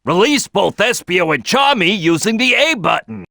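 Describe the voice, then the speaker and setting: A man's voice: high-pitched, lively intonation, normal speed, vocal fry, bright, forceful tone, no room sound, studio quality, heavily acted. A man voicing a big brash cartoon crocodile team leader in a video game, giving tutorial tips with emphatic, punchy delivery. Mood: instructive, upbeat. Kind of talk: animated game tutorial voice lines.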